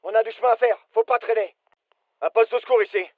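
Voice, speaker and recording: Casting: alert game soldier, very high-pitched, clipped urgent commands, dry muffled recording. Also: male voice, steady tone, quick pace, dry recording. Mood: businesslike. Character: British fireteam soldier, alert, businesslike adult man. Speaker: voice actor, French dub. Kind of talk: military shooter game callouts.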